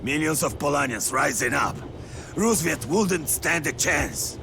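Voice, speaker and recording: An actor playing a grim middle-aged resistance commander in a video game cutscene, terse, commanding and fervent. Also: male voice, a little high, expressive, a little slow, vocal fry, noisy background. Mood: bitter, fervent. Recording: music behind the voice.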